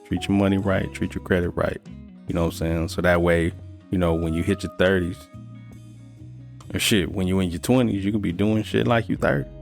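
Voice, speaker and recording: Low gravelly man, laid-back and profane. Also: steady tone, a little fast, soft background music. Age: 30s. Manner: laid-back, profane, advice-giving storyteller. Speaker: podcast co-host. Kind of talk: casual chat.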